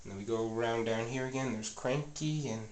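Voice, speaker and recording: A man's voice: mid-range voice, fairly quick. A man with a stuffy, slightly scratchy voice talks casually through a tour of his train room at home. He rambles and corrects himself, with plenty of fillers. A camera mic held close picks him up, with a faint clicking underneath. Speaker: toy train vlogger. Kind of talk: casual show-and-tell narration. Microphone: handheld camera mic, filming close.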